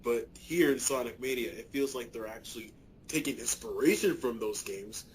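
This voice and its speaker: A man's voice: medium pitch, normal speed, a little hiss or hum, low-quality recording. A young man co-hosting a podcast, chatting casually with crude, laughing banter. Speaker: podcast co-host. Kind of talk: casual chat.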